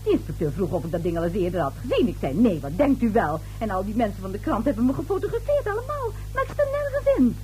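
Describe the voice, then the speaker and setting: A woman's voice: fairly high pitch, big pitch swings, fast, few pauses, warm tone, soft background music, rough recording. An actress playing a tipsy, gossipy neighbour lady in a radio play, chatty, coy and giggly. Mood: gossipy, knowing. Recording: old radio drama recording.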